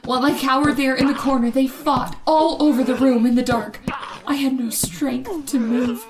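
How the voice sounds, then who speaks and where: An actress playing a terrified young woman who narrates her night of terror in old-time radio suspense style, dramatic and halting. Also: sits high, steady tone, noisy background, lightly acted. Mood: panicked terror.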